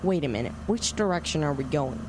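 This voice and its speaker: A woman's voice: low voice, animated, brisk, background noise. A young female actor playing a stubborn, earnest kid adventurer in an audio drama.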